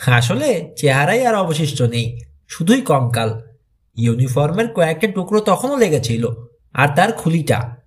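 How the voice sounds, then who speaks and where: Male audiobook narrator, fairly high voice with very animated intonation, for dramatised mystery storytelling. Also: measured pace.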